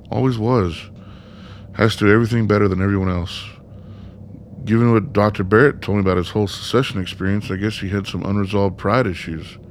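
Male actor as sardonic, foul-mouthed son, radio play, low register, faintly noisy track. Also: lightly acted. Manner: blunt, sardonic. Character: bitter, sarcastic, foul-mouthed son of an ailing father.

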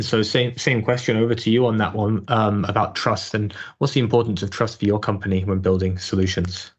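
A man hosting a panel podcast, thanking guests politely and passing questions along with many fillers. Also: on the low side. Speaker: podcast host.

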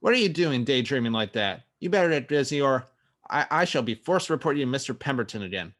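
A man's voice: medium pitch, expressive, fast, fairly bright tone, close to an everyday voice. A man playing an indignant, scolding office boss in a comic radio play. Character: exasperated, bossy male office boss. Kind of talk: improv podcast radio play acting.

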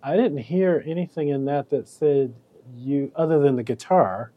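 A man hosting a casual interview chat, asking relaxed, curious questions. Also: medium pitch, moderate pace, muffled recording. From Pontiac, Michigan. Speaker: host.

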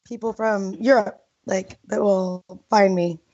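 A woman's voice: medium pitch, steady tone, measured pace, dark tone. A woman in her thirties, a former child actor, reminiscing casually in an interview, giggling often and trailing off with fillers. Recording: dull lo-fi sound.

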